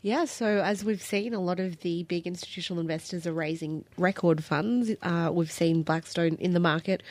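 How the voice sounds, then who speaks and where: A woman's voice: lowish voice, normal speed, darkish tone, dry recording. A woman from Australia with an Australian accent, explaining finance topics as a guest reporter in a radio studio with casual asides. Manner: casual, explanatory, light wordplay. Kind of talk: radio interview.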